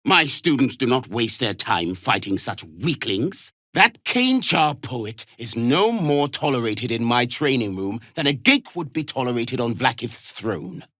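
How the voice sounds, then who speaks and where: An actor playing a harsh, imperious alien warrior instructor in a video game, scornful and given to clipped commands. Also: male voice, medium pitch, very animated, a little slow, few pauses, fairly bright tone, no room sound, studio quality. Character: harsh, contemptuous alien warrior instructor drilling young recruits. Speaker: combat trainer. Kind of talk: video game character acting.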